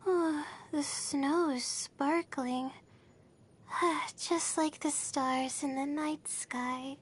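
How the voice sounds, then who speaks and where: Sleepy anxious girl student, very high voice, slow hesitant delivery, anime game character. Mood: drowsy. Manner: hesitant, drowsy, sighing. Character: sleepy, anxious young female student stargazer. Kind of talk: anime video game voice lines.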